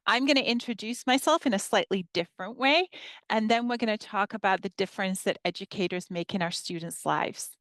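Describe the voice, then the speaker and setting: Woman in her 50s giving a teaching talk, smooth clear voice, dry close sound, reads slides out calmly. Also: mid-range voice, no echo. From northern England. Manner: warm, measured presenting, reading slides aloud. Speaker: history professor giving a teaching workshop. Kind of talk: presentation to educators.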